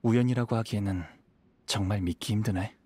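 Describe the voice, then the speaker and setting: An actor playing a modest young wandering samurai in a game, calm, polite and reflective. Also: male voice, fairly low pitch, fairly quick, husky, dry recording. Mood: puzzled, reflective. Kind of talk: game voice acting. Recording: music behind the voice.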